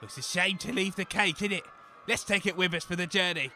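A man's voice: sits high, fairly quick, sharp tone, soft background music. A man voicing a cheerful grown son who praises his mother. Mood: cheery.

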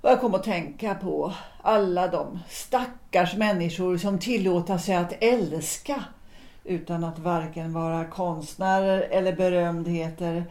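An actress playing a composed, quietly ironic wife in a period audio drama, speaking in a measured, restrained way. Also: female voice, on the low side, lightly acted. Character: composed, quietly ironic wife, former opera singer, 1906. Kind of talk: period stage-play audio drama acting. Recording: roomy echo.

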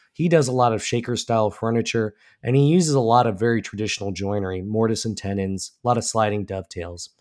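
A man's voice: medium pitch, darkish tone. Congested man losing his voice. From Alabama. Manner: casual, many ums and restarts. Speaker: woodworking podcast co-host. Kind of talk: casual podcast chat.

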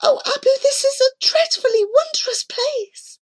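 A woman voicing a small female animal companion in a storybook, marvelling in hushed, breathy wonder. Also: extremely high pitch, a little slow, voice pushed up. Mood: hushed awe.

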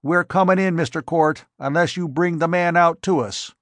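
A man's voice: fairly high pitch, normal speed, fairly bright tone, no room sound, voice pushed up, close to an everyday voice. A man doing a grizzled old rural farmer. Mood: insistent. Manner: rural drawl, folksy grammar. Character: grizzled old male farmer spokesman, stubborn.

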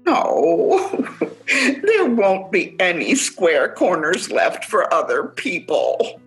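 Radio drama germophobe, played by a woman: female, prim and indignant, slightly low voice, unhurried but very expressive. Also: soft background music, exaggerated voice. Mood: irritated, fussy. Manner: precise, prissy, indignant. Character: prim, fussy germophobic woman, anxious and easily exasperated. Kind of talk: radio play acting. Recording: home-recorded virtual radio play.

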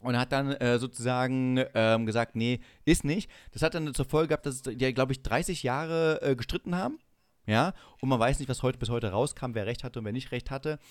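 A man's voice: mid-range voice, normal speed, clear, resonant tone, no echo. Middle-aged man chatting, close dry sound. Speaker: podcast host. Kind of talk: casual podcast storytelling.

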